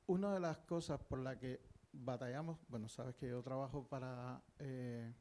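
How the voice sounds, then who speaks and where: A man's voice: medium pitch, steady tone, normal speed, mellow, slight background noise. An older man from Cuba, speaking earnestly from the audience at a panel, with many fillers and restarts. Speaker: audience member, historian. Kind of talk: public panel discussion.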